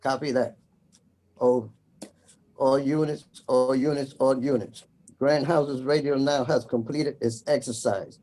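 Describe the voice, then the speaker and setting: Man, medium pitch, slow pace, formal radio announcing, deliberate repeats, trainee controller drill. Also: fairly even intonation, warm tone. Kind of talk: scripted radio procedure drill with call signs.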